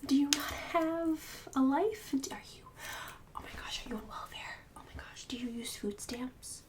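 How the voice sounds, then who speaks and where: A woman's voice: high-pitched, moderate pace, distant mic, close to an everyday voice. Woman playing a whispering, snobbish suburban queen bee. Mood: catty, judgmental. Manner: condescending, catty, fake-sweet insults. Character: snobbish, bossy, bragging suburban woman hosting a book club. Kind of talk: ASMR roleplay, whispered and soft-spoken.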